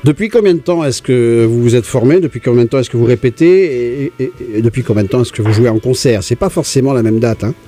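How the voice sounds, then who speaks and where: A man's voice: medium pitch, fast talker, hardly any pauses, mellow. A man hosting a radio music interview, chatty and leading the questions. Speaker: radio host.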